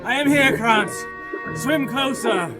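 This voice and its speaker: A fanatical, arrogant Nazi villain, acted by a man declaims in a very high male voice. He sounds haughty and commanding, with loud drama music behind him. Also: takes it slowly. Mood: haughty, scheming. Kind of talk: wartime adventure audio drama acting.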